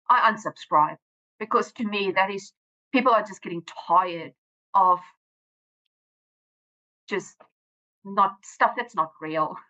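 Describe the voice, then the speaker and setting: South African woman, Afrikaans native, plain-spoken, bright tense voice, dull lo-fi audio. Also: mid-range voice. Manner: plain-spoken, frequent restarts and laughs. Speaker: guest author and teacher. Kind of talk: casual interview chat.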